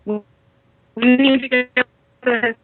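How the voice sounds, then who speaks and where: A woman co-hosting a casual livestream over a call, talking quickly and apologetically. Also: high-pitched, fairly even intonation, faint music behind. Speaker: podcast co-host. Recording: dull lo-fi call audio.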